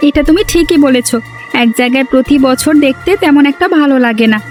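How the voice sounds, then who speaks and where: A woman's voice: high voice, fast talker, a little mellow, faint music behind, natural voice. A woman voicing a frightened, pleading little village boy in a cartoon. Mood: childlike grumbling. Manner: plaintive, pleading. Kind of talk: cartoon dub acting.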